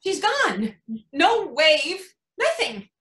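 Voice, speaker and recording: A woman's voice: very high voice, very slow, heavily acted. A woman playing a devoted, emotional mother who tells her story in an emphatic staged reading, acting out what others say. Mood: wistful, emotional. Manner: animated, emphatic storytelling with acted-out quotes. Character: devoted, emotional mother of young children narrating. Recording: echoey room.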